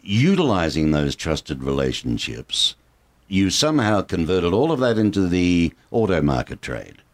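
Low, lively Australian man hosting. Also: a little slow. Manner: jokey, playful, teasing. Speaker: host. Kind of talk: talk show interview.

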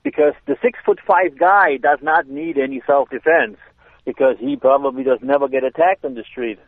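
A man's voice: mid-range voice, normal speed, no echo. A man with a German accent, a self-defense instructor, explaining in casual chat with hesitant restarts. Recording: tinny phone line.

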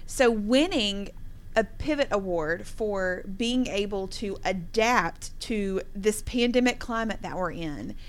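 A woman's voice: mid-range voice, expressive, slow. A woman hosting a conversational interview with award winners, speaking in an encouraging, affirming way. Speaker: interview host.

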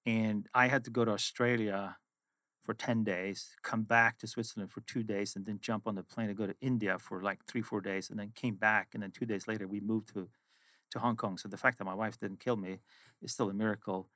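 Swedish guy in his middle years, english not his first language, thoughtful interview chat, lowish voice, dry close sound. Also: fairly even intonation, a little fast, pushed and bright, no echo. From Sweden. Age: middle-aged. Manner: reflective, storytelling. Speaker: guest, finance executive.

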